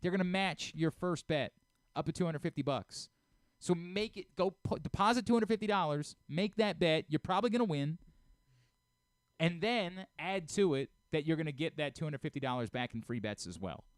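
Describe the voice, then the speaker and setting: A man's voice: a little high, expressive, normal speed, dry recording, studio quality. An American man hosting a casual sports talk radio show, chatting and reading ads. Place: radio studio.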